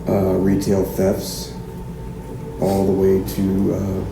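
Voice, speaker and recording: Man playing a low, gravelly small-town police chief. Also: monotone, full character voice. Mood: measured, probing. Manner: procedural, halting, full of hesitations. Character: methodical small-town male police chief interviewing someone. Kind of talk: naturalistic fiction podcast police interview. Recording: roomy interview-room echo, music behind.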